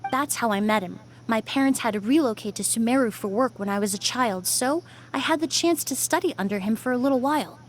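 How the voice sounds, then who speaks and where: An actress playing a polite, measured young female perfumer in a video game. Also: a little high, slight background noise, natural voice. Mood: polite, serious.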